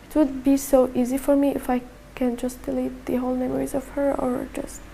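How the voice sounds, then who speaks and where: A young woman, her voice mellow and touched with vocal fry. She talks in a flat, halting way, restarting phrases as she recounts her own story to camera. A faint hiss of noise sits under the recording. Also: sits high, poor audio. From Syria. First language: Arabic. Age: early 20s. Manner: halting, soft-spoken, many restarts and fillers. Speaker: activist telling her story. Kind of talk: personal testimony to camera.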